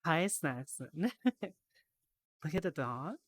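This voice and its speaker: A woman with a low, deep voice talks slowly through a VR headset mic, tired and slightly hoarse from a long stream. She chats casually with her viewers, sounding out words carefully and laughing easily. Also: lively intonation, a bit bright, no room sound, clean studio sound. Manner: chatty, reads aloud slowly, laughs easily. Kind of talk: casual live-stream chat while learning Japanese.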